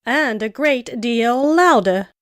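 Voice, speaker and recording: Slow, high, haughty talking flower. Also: female voice, lively intonation, smooth, dry recording, clean studio sound. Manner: slow, emphatic scolding. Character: haughty, bossy, passionate talking tiger lily flower. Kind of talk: storybook character voice.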